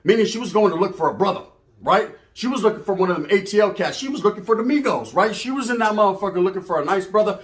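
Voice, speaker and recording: High-pitched American man telling bawdy stories. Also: fast talker. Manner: slangy, animated, acts out dialogue, checks in with "right?". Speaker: storyteller, channel host. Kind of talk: casual bawdy comedic storytelling.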